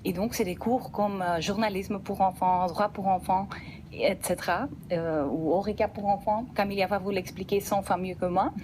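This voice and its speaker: A woman's voice: medium pitch, steady tone, normal speed, a little mellow, a little hiss or hum. A woman whose first language is Dutch, speaking French with a slight Belgian Dutch accent, explaining her program in a radio interview with enthusiasm and many restarts. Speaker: guest, program representative. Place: radio studio.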